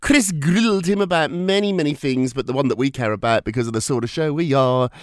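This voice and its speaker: A man's voice: medium pitch, fairly quick, no room sound, studio quality. A man hosting a gossip podcast, chatty and theatrical, with a slight cold. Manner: chatty, laughs often, theatrical asides. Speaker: podcast host. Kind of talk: casual gossip chat.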